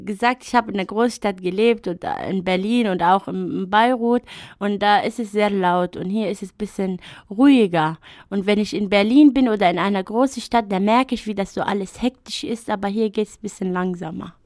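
A woman's voice: medium pitch, normal speed, a little mellow, no echo, clean studio sound. A woman whose first language is Arabic, speaking German in a radio interview and recounting her own life thoughtfully with some hesitations and fillers. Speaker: interview guest. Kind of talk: radio interview, personal account.

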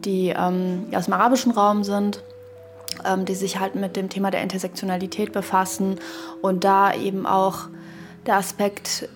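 A woman talks in a casual podcast conversation as co-host. Her voice sits at a middle pitch and moves within a fairly narrow range. She thinks aloud haltingly, using academic terms. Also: little pitch movement, faint music behind. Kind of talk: casual, reflective podcast discussion.

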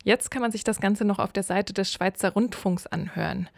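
A woman's voice: mid-range voice, moderate pace, gravelly, no echo. A woman reading scripted narration for a radio feature as its reporter.

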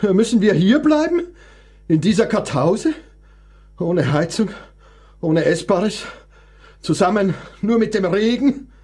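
Radio play role: an ailing, theatrical composer, high-voiced and highly animated, forever complaining with bitter sarcasm. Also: male voice, takes it slowly, long pauses, breathy edge, heavily acted. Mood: anxious, gloomy. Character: sickly, querulous male composer, bitter and theatrical.

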